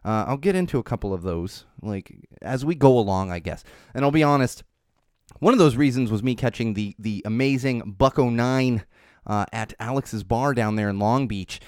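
Stuffy-nosed guy rambling on his podcast from a small studio, mid pitch, smooth tone. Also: normal speed, a little mellow, no room sound. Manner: chatty, rambling, self-promoting. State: congested from a cold. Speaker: podcast host. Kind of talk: casual solo podcast talk. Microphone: studio microphone.

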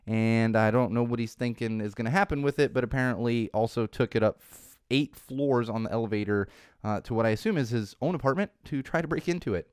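A man's voice: mid-range voice, fairly quick, a little mellow, smooth, no room sound, studio quality. Wry rambling man hosting a podcast. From Southern California. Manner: rambling, wry, self-deprecating, frequent restarts. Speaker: podcast host. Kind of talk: solo podcast monologue on social trends. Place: home studio.

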